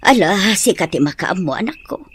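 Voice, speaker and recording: Casting: actress as caring, anxious Ilocano mother, animated intonation, fussing manner, radio-drama style, some background noise. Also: on the low side, moderate pace, lightly acted. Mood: worried, tender. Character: doting, worried mother of a grown son. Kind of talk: audio drama acting.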